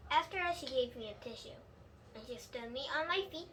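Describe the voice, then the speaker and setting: A woman reading a children's book aloud in an expressive storytelling style, with a few slips. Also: high-pitched, brisk. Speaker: storybook reader. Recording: clear background noise.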